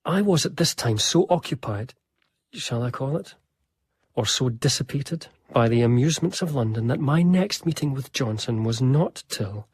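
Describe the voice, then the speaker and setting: Radio drama: eager young gentleman diarist of the 1700s, mid-pitched, formal and literary, confiding in the listener. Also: expressive, normal speed, breathy edge. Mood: confiding, pleased. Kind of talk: radio drama narration and dialogue.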